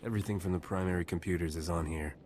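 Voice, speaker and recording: A heroic sci-fi game adventurer played by a man with a deep male voice. He is terse and self-assured, over faint background ambience. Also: steady tone, racing, darkish tone, slight background noise, lightly acted. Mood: confident, resolute. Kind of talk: video game dialogue acting.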